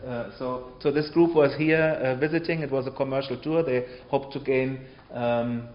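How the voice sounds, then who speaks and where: A man giving an informal public lecture in a halting way, often pausing and checking in with short tags. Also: medium pitch, steady tone, lo-fi sound. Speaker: lecturer on sound archive history. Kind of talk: public talk with hesitant, informal delivery.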